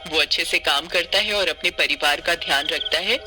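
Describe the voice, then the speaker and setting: A man's voice: a little high, moderate pace, over music. A man narrating a cartoon story from a script.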